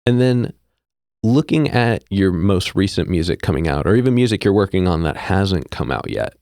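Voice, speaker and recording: Male interviewer host, very low voice, animated intonation. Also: normal speed, no echo, clean studio sound. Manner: conversational, with short back-channel murmurs. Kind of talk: interview questions.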